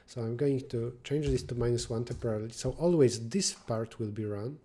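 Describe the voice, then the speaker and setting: A man live-streaming his programming work, thinking aloud and answering chat, sometimes dropping to a quiet mutter as he works. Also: mid-range voice, brisk, husky. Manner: drops to quiet muttering while working.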